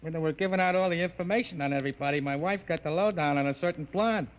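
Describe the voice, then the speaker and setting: Gloomy high-voiced American working man acted. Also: quick pace, clear, resonant tone, natural voice, rough recording. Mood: gloomy, sardonic. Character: gloomy, sardonic married repair worker. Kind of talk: 1950s radio drama acting.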